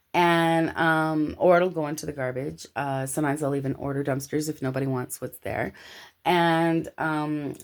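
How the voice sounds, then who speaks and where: An American woman from Los Angeles talking casually and telling personal anecdotes in an animated, slangy way. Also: low voice. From Los Angeles, United States.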